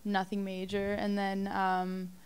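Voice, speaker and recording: A woman in her late twenties, a native Romanian speaker, talking softly and casually as a podcast guest, with frequent little laughs and many filler words. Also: medium pitch, monotone, slow, clean tone. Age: late 20s. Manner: soft-spoken, giggly, many filler likes.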